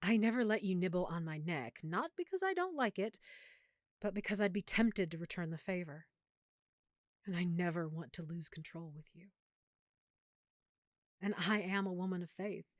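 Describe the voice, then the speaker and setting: Woman acting lovesick vampire girlfriend, low and intimate. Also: expressive, no echo, studio quality, lightly acted. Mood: guilty, tender. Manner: tender, confiding, dramatic pauses. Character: lovesick, guilt-ridden female vampire hiding her nature from boyfriend. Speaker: voice actress in audio roleplay. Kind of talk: intimate girlfriend audio roleplay.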